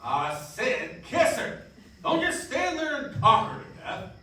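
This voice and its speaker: Crotchety, meddling old neighbour, high forceful voice and drawn-out delivery, radio play. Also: male voice, sing-song, exaggerated voice. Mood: exasperated, mocking. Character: cranky, meddling old neighbour man scolding wasted youth. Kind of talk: live radio play acting. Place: live stage in a dance hall. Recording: very echoey hall, distant mic, faint noise.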